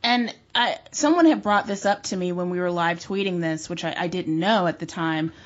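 Low-voiced woman co-host asking questions. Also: normal speed, muffled recording. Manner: engaged, with small restarts. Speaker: podcast co-host. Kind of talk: interview questions.

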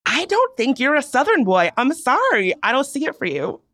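A young woman from Virginia co-hosting a podcast, chatting casually and giving animated, opinionated takes. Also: sits high. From Virginia, US South. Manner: animated, opinionated, drawn-out storytelling. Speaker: podcast co-host. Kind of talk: casual chat.

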